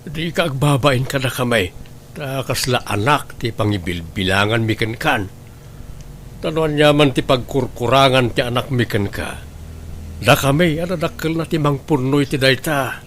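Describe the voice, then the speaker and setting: Kindly older family patriarch voiced by a male actor, mid-pitched, supportive, radio drama, faint background noise. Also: animated, normal speed, a bit bright. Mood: gentle, generous. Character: supportive, gentle father-in-law, an older family man. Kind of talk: Ilocano radio drama acting.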